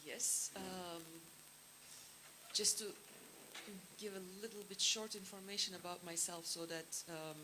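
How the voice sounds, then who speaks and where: A woman from Turkey, a filmmaker and producer, speaking on a panel in a measured, thoughtful way. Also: fairly low pitch, steady tone, background noise. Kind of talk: panel talk.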